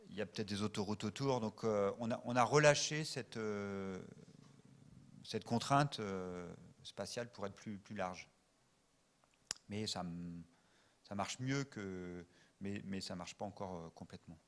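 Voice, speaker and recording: A man's voice: fairly low pitch, normal speed. A man answering an audience question, thinking aloud and explaining step by step. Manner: thoughtful, hedging, explaining step by step.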